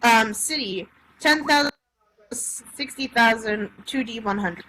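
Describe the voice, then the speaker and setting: A woman's voice: fairly high pitch, slow, bright, forceful tone, rough recording. A woman casually reading a tabletop game rulebook aloud, stumbling here and there over the text. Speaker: reader of a tabletop game supplement. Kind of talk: casual read-aloud with joking asides. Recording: clear background noise.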